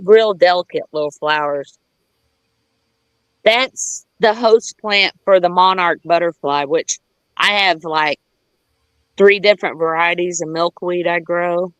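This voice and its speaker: A woman's voice: fairly low pitch, a little slow, a bit bright, smooth, no room sound, rough recording. A woman chatting casually and telling a personal story, candid and sweary, wandering into side details and quoting people as she goes. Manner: candid, profane, rambling with digressions; acts out quoted dialogue.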